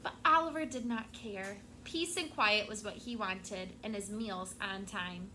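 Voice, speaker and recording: A woman teacher reading a picture book aloud to her class, expressive and stopping to prompt the children. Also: mid-range voice, a little slow, a little hiss or hum, low-quality recording.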